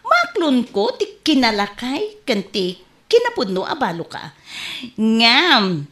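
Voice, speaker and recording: An actress with an Ilocano Filipino accent playing an exasperated, sharp-tongued woman turning down suitors, emotional and theatrical in a radio comedy drama. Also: high-pitched, exaggerated voice. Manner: theatrical, emotional, drawn-out delivery.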